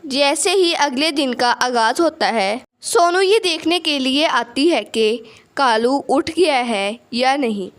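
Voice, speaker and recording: A woman's voice: high-pitched, lively intonation, slow pace. A woman narrating a scripted children's story.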